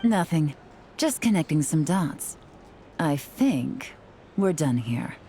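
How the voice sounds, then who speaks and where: Low-voiced, composed undercover captain. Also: female voice, sing-song, warm tone, faint music behind, exaggerated voice. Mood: coolly assured. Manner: cool, measured, businesslike. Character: composed, shrewd young woman undercover captain. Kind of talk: video game voice acting.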